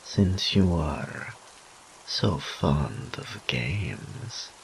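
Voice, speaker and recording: A man voicing a seductive, arrogant incubus demon in an intimate roleplay audio drama, breathy and often whispering, with teasing pauses. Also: very deep voice, lively intonation, a little hiss or hum, heavily acted, low-quality recording. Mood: teasing, coaxing. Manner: slow, teasing, drawn-out phrases with pauses.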